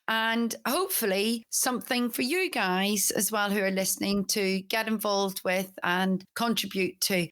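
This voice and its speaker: A woman's voice: on the high side, a bit bright. A woman in her forties from Northern Ireland with a Northern Irish accent, co-hosting a podcast in a chatty, enthusiastic, rambling way. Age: 40s. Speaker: podcast co-host. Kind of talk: casual podcast chat.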